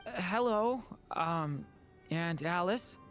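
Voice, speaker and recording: Shy polite dragon boy, soft and hesitant but expressive, anime game voice. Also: medium pitch, takes it slowly, loud music behind the voice. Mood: shy, puzzled. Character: gentle, shy, polite young dragon boy in human form. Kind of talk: anime fantasy game voice acting.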